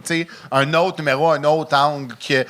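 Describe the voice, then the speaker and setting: A man with a Quebec French accent, judging a comedy act in a teasing, animated chat. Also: a little high, moderate pace. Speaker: comedy show judge. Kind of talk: casual chat.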